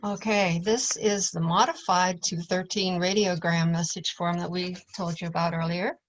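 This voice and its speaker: A woman's voice: on the low side, fairly even intonation, normal speed. A woman presenting class material as an instructor, explaining it step by step in an informal way. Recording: dull, lo-fi audio.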